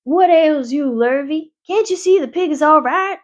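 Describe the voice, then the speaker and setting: A flustered farmer hollers in a storybook reading, as a woman acts him out. His voice sits high and loud, worked up and exasperated. The sound is dull and lo-fi. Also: expressive, slow pace. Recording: dull lo-fi recording.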